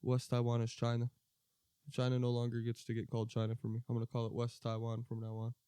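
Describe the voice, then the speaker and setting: A man's voice: medium pitch, monotone, fast talker, mellow, clean tone, no echo. An American man co-hosting a podcast, chatting casually about politics in a blunt, profane, opinionated way. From United States. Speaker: podcast co-host.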